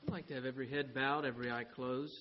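A man leading the close of a church service in a quiet, soft-spoken way. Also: medium pitch, fairly even intonation, fast talker, muffled recording, slight background noise. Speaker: pastor. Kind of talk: closing prayer invitation.

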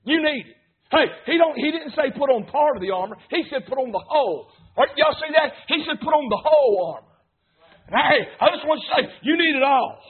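A man's voice: very high-pitched, animated, fairly quick. A man preaching an emphatic revival sermon, punctuating lines with "hey" and "amen". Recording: tinny phone-like sound.

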